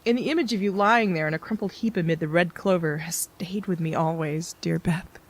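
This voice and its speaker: A woman's voice: fairly low pitch, fairly quick, lightly acted. An actress playing a plain-spoken female companion in an audio drama. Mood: tender reminiscence.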